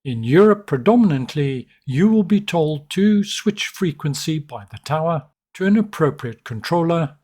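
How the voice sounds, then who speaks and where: A man's voice: fairly high pitch, expressive, moderate pace, hardly any pauses, darkish tone, husky. A man narrating an instructional tutorial in an orderly, explanatory way. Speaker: flight sim tutorial narrator.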